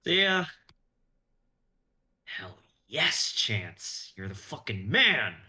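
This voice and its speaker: A man reading an awkward, smitten young man in a play, hiding his excitement. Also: mid-range voice, drawn-out words, heavily acted. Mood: elated.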